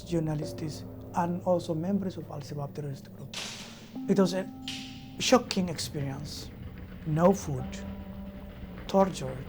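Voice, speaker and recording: Male journalist from Somalia, measured documentary testimony, music bed underneath. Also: a little high. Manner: slow, measured, halting. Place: United Kingdom.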